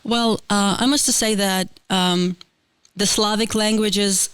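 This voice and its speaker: A woman's voice: mid-range voice, steady tone, slow, smooth. A woman with a Ukrainian accent whose first language is Ukrainian, a guest on a casual podcast, often searching for words and restarting her sentences.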